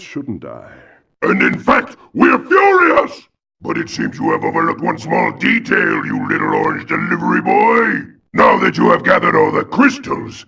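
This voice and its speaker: An actor playing a ranting, pompous mad scientist villain in a video game cutscene, melodramatic and theatrical. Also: male voice, medium pitch, creaky, husky, far from the mic, heavily acted. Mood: mock calm, then menacing rage. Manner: pompous, melodramatic, swinging from sulking to shouting.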